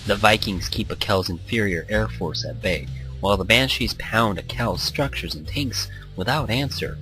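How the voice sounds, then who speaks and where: A man's voice: fairly low pitch, moderate pace, sharp tone, poor audio. A man hosting a let's play, reading visual novel text aloud in a playful, theatrical way. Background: background music.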